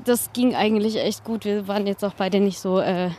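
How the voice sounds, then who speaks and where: A woman's voice: medium pitch, fairly even intonation, normal speed, warm tone. A woman from Baden-Württemberg telling anecdotes as a guest in a casual, colloquial, self-deprecating way while walking along. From Baden-Württemberg, Germany. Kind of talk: casual chat, anecdotal storytelling.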